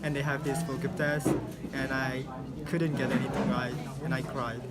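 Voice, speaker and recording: A man's voice: medium pitch, flat delivery, moderate pace, loud music behind the voice. A man in his twenties whose first language is Indonesian, answering interview questions in a hesitant way, often restarting his sentences. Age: 20s. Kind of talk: casual reflective answer. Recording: loud crowd noise behind. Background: crowd chatter.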